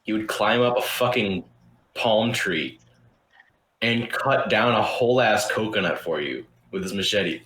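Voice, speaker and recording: A man's voice: on the low side, a little slow, a bit bright, reverberant, low-quality recording. A young man hosting a podcast, telling a story in a loose, rambling way with lots of restarts and casual swearing. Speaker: podcast host.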